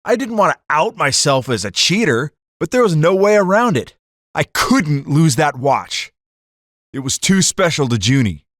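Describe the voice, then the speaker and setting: A man's voice: on the high side, sing-song, moderate pace, fairly bright tone, dry recording, clean studio sound. A man playing a hot-headed card-cheating gangster telling his story in slangy 1940s radio drama style. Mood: narrating, bitter.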